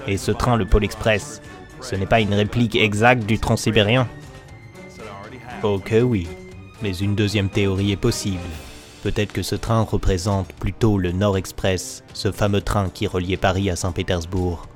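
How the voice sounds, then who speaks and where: A man narrating a scripted mock documentary in a mock-serious, deliberate manner. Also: fairly low pitch, flat delivery, faint music behind.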